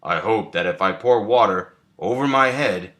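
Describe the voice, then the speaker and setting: A man voicing an earnest, helpful, slightly hapless male toad from a storybook, speaking deliberately. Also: lowish voice, bright, forceful tone.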